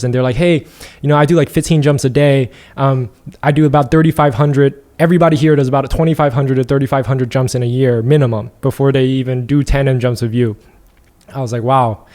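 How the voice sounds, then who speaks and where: A young man chats as a podcast guest, circling his points with fillers and restarts. His voice sits at an ordinary middle pitch. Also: dark tone. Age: young adult. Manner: rambling, many fillers and restarts. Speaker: podcast guest, apparel brand founder. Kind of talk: casual interview conversation.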